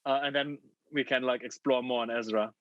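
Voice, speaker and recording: A man in his thirties moderating a fireside chat over a video call from a home room, friendly and halting, with many fillers and restarts. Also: mid-range voice, fairly even intonation, a bit bright, no echo. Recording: dull, dry video call audio.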